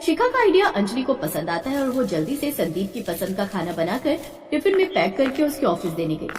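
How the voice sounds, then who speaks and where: A woman's voice: medium pitch, big pitch swings, a little fast, a little mellow, a little hiss or hum. A woman reading scripted story narration as the narrator. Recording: echoey hall-like reverb.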